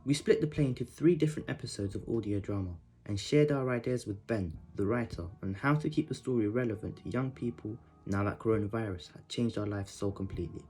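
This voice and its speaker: A man's voice: fairly low pitch, fairly quick, few pauses, darkish tone. A young man narrating a scripted piece as a member of a youth theatre company. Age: young adult. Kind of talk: scripted narration to promote a project.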